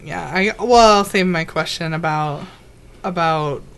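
A woman co-hosting a podcast in casual chat, her voice raspy from a cold, teasing and laughing often. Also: fairly low pitch, a little slow, fairly bright tone. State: has a cold. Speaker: podcast co-host.

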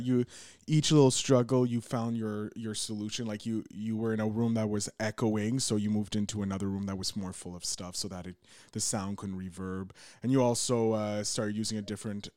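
A man's voice: on the low side, darkish tone, dry recording, studio quality. A man hosting a podcast interview, upbeat and affirming in a chatty way. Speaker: interview host. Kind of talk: casual interview.

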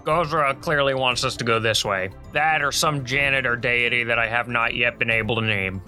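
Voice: mid-range voice, fairly even intonation, moderate pace, pushed and bright, soft background music. A tabletop game player narrating their character's moves in casual, playful banter. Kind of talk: casual chat, playful role-play narration.

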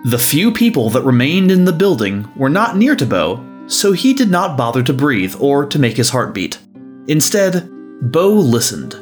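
Animated male story narrator over faint music. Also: mid-range voice, moderate pace.

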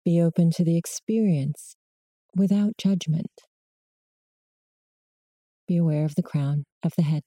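A woman guiding a body scan meditation in slow, measured short prompts with long pauses between them. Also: lowish voice, dark tone, slightly rough, dry recording, clean studio sound. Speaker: meditation guide.

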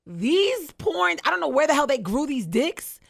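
A woman in her forties co-hosting a casual chat, brash and teasing, laughing loudly. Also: high voice, sing-song, moderate pace, creaky, dry recording, clean studio sound. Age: 40s. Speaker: guest co-host.

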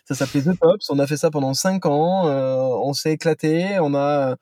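A man's voice: on the high side, normal speed, warm tone, dry recording. A man in his thirties from Toulouse with a southern French accent, chatting as a guest from a parked car, hesitant with lots of fillers. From Toulouse, southern France. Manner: hesitant, many fillers and restarts. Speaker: guest, company founder. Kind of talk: casual interview chat.

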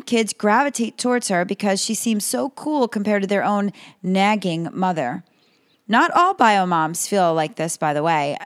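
A woman hosting a podcast, slightly hoarse, reading calm advice in a scripted monologue. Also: mid-range voice, no echo, studio quality. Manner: calm, advising. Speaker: podcast host.

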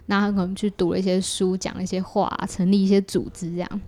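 A woman's voice: mid-range voice, level tone, quick pace, mellow. A woman with a Taiwanese Mandarin accent, explaining things in a casual interview as a podcast guest. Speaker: podcast guest, creator of an audio theatre work.

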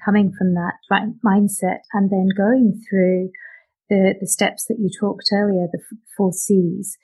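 A woman's voice: mid-range voice, level tone, measured pace, darkish tone, breathy edge, low-quality recording. A woman hosting an interview, reflecting on the guest's points and thinking aloud with restarts. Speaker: podcast host. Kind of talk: conversational interview.

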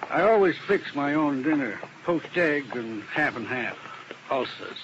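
A rueful old defense lawyer. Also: male voice, fairly high pitch, lively intonation, a little slow, noisy background. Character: male defense lawyer, fussy with ulcers. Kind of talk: 1950s radio drama acting. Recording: muffled old radio recording.

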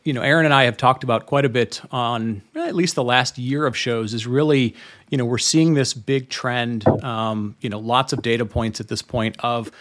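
A man hosting a podcast interview in a halting, informal, conversational way. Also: medium pitch, a bit bright. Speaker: podcast host.

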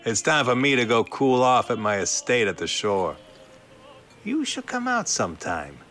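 A man playing a smooth, menacing 1920s gangster boss in an audio drama, speaking in a deliberate, insinuating way. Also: mid-range voice, sing-song, a bit bright, soft background music. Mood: smooth, suave. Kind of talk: period audio drama acting.

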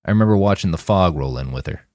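Laconic cyborg soldier, read by a man, low voice. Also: lively intonation, fast, darkish tone, no echo, studio quality. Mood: easygoing. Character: laid-back, wry cyborg soldier with a broken memory.

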